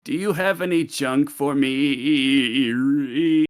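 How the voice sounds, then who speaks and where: A man's voice: medium pitch, drawn-out words. A man voicing a nervous junk robot that stutters on its errors. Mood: hopeful. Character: glitching junk robot, anxious, slow-spoken.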